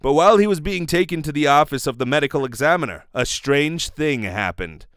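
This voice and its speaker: Guy doing dramatic radio serial narration, animated, close dry mic. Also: a little high, a little fast, smooth, no room sound. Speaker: host and performer. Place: small bedroom. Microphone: close studio mic with pop filter.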